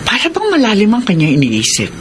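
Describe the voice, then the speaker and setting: A caring middle-aged Filipino mother, asks gently, her pitch moving widely. It sounds like radio drama, with clear noise behind the voice. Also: wildly swooping pitch, fast. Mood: concerned. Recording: noisy radio drama with ambience.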